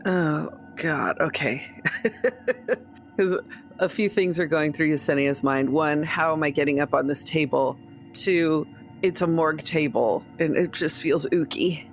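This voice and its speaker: A woman's voice: sits low, measured pace. Wry woman game player over music. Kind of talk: casual in-character game chat.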